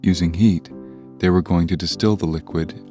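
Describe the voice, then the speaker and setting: A deep-voiced man narrates a scripted story in an audio drama. Soft guitar music plays underneath. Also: fairly even intonation, normal speed.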